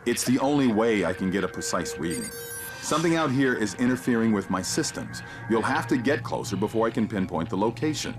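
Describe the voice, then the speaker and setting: An actor playing a composed, commanding mission guide in a sci-fi video game, speaking in a clipped, technical, instructive way. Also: male voice, on the low side, expressive, fairly quick, over music, close to an everyday voice. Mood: measured, explaining. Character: composed, commanding man guiding a soldier through alien invasion. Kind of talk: scripted video game voice acting.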